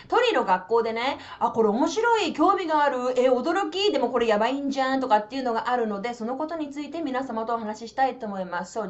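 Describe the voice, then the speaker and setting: Lively Japanese-accented woman, chatty. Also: fairly high pitch, dull audio. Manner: chatty, animated, switches between languages, drawn-out explaining. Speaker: vlog host. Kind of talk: casual bilingual vlog talk.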